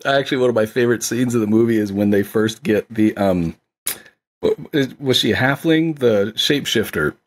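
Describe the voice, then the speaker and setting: A man's voice: lowish voice, fairly quick, a little hiss or hum. A man co-hosting a podcast, chatting casually about films in an enthusiastic, agreeable way. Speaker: podcast co-host, film director. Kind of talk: casual film review chat.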